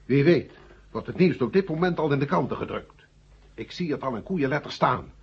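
An actor playing a scornful, insistent male official with a husky, emphatic voice in a radio play. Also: medium pitch, expressive, moderate pace, a little hiss or hum. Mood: alarmed, scornful. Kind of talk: 1970 Dutch science-fiction radio play acting. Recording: muffled vintage radio recording.

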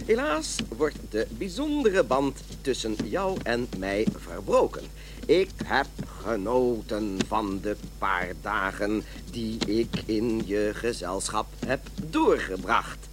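An actor playing a desperate, self-deluding middle-aged smoker in a radio drama, talking in an earnest, halting, theatrical way. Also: male voice, fairly low pitch, very animated, noisy background. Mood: melodramatic.

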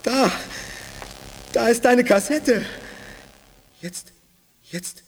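An actor playing an astonished, excited mutant seer in a radio drama, speaking haltingly with a husky voice. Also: male voice, very high-pitched, exaggerated voice. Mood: amazed. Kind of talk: 1980s German radio drama acting. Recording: long hall reverb.

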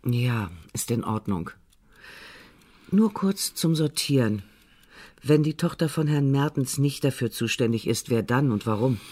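An actor playing a composed, probing psychotherapist in a radio drama, measured, matter-of-fact and drily blunt. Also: male voice, medium pitch, expressive, darkish tone. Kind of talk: naturalistic German radio drama acting.

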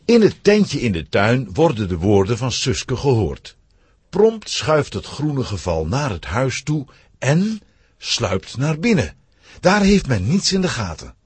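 A man's voice: medium pitch, huge pitch swings, measured pace, husky. A man narrating a scripted children's story.

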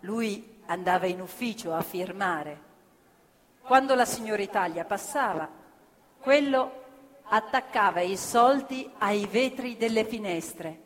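A woman telling a story on stage, earnest and measured. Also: medium pitch. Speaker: stage actress narrator. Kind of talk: theatrical storytelling. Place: theatre stage. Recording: live theatre with long reverb. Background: live violin and piano.

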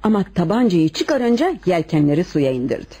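A husky woman in a radio drama, a lovelorn wife confessing to killing. Her mellow delivery is measured and dramatic, with lively swings in pitch. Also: heavily acted. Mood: tense recounting. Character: confessing murderess wife, composed, lovelorn, resigned. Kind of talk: radio theater acting in Turkish drama.

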